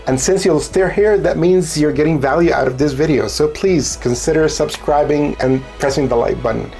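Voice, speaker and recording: A man's voice: fairly high pitch, moderate pace, dark tone, soft background music. A man from Egypt in his thirties, giving an earnest motivational talk to camera from a home studio. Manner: earnest, coaching, self-mocking asides. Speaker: solo business creator.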